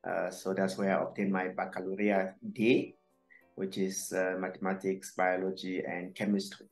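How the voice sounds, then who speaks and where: Cameroonian guy in his 40s, lowish voice, lots of uhs and restarts, faint music behind. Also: monotone, roomy sound. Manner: halting, many fillers and restarts. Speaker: guest scientist. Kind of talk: casual interview answers.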